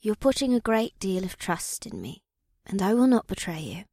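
A man voicing a gentle, calm orc woman mystic with a misty, breathy, hushed voice in an audiobook. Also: high-pitched, measured pace, no echo, studio quality, pitched above the performer's own voice, exaggerated voice. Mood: solemn.

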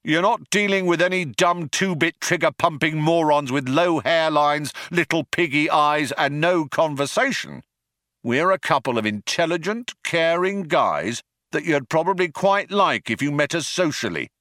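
A man voicing a pompous, self-righteous male alien space cop who shouts through a bullhorn, in an audiobook. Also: on the high side, lively intonation, normal speed, dry recording, studio quality, pitched above the performer's own voice. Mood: indignant.

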